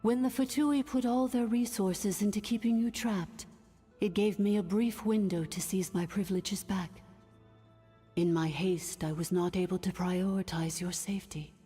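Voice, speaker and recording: A woman voicing a mellow, reverberant ancient AI. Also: medium pitch, steady tone, breathy edge, close to an everyday voice. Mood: measured. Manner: measured, formal and authoritative. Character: ancient female ruins AI, calm and authoritative. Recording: long hall reverb, game music behind.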